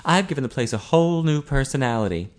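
A flamboyant young man in an audiobook novel, voiced by a narrator. His pitch is lifted and animated. He sounds teasing, exclamatory and thoroughly pleased with himself. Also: quick pace, natural voice. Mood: proud. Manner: snickering, exclamatory.

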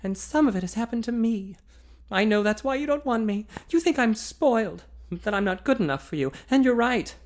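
A man voicing a pleading, bold young woman in a read-aloud romance novel, in a high, breathy voice. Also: expressive, normal speed. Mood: distressed.

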